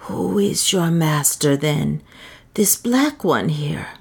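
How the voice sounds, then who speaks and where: Brief: old wanderer woman, frail and pleading, gravelly breathy whisper, slow and low, as a woman reader puts her on. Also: expressive, darkish tone, heavily acted. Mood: earnest. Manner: archaic, pleading, half-whispered speech. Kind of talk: audiobook character reading.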